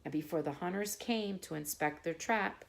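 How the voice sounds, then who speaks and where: A woman's voice: fairly low pitch, moderate pace, creaky. A woman hosting a children's bedtime story segment, talking to young listeners in a simple, friendly way and reading aloud. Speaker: children's storytime host and reader.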